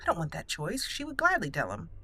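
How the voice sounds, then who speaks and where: A woman improvising in character as she plays a fantasy game, speaking haltingly and thoughtfully in formal phrasing. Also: on the low side, huge pitch swings, pushed and bright. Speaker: gaming channel host, roleplaying player. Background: game music.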